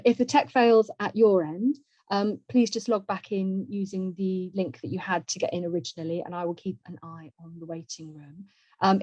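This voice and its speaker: A woman's voice: mid-range voice, darkish tone. A woman hosting an online webinar, talking through informal housekeeping in a chatty, organised way over a video call. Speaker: webinar host. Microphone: video call microphone. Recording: roomy, dull video-call audio.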